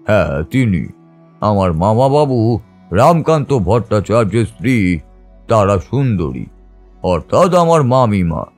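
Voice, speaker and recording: A man reads a scripted audio story at a slow pace in a mid-pitched voice. His delivery is measured and dramatic, in a literary first-person style, with music underneath. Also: a little mellow. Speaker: story narrator. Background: background music.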